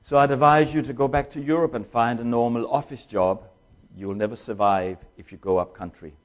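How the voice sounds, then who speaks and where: An older man with a Danish accent, a guest speaker telling his testimony in a conversational sermon and slowing down to read scripture. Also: medium pitch, fairly even intonation. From born in China to Danish parents, raised in Tanzania.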